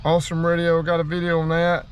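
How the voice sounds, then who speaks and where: A man's voice: fairly high pitch, completely flat delivery, fast, clear, resonant tone. An American man giving a casual hands-on demo, talking straight to viewers in a folksy way. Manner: folksy, addresses viewers directly. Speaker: outdoors channel host and radio operator. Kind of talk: casual hands-on demonstration vlog.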